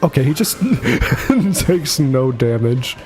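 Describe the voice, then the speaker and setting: A man's voice: mid-range voice, very animated, slow pace, a little mellow. A man giving casual, jokey livestream commentary on a video game and chuckling often. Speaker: streamer. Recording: game music behind the voice.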